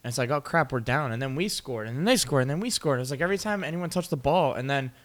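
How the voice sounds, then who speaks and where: A young man co-hosting a relaxed sports podcast, recounting games with lively energy and frequent laughter. His voice sits in a middle register and moves along at an easy conversational pace. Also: mid-range voice, fast talker. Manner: animated storytelling, laughs often. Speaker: podcast co-host. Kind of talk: casual sports chat.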